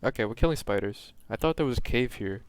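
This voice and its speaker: A man's voice: mid-range voice, quick pace. A young man from New York giving casual, rambling commentary while streaming a game, self-mocking and drifting off-topic. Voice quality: monotone.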